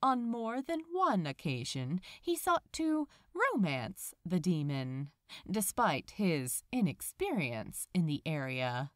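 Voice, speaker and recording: A woman reading fiction aloud as a narrator, in an expressive, measured storytelling manner. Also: fairly low pitch, no room sound, studio quality. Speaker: podfic narrator.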